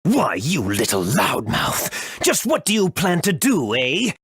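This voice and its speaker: A man playing a boastful, hot-tempered villain inventor in a video game, with a raspy, theatrical, gloating delivery. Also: fairly high pitch, wildly swooping pitch, slow pace, fairly bright tone, dry recording, studio quality, full character voice. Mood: outraged.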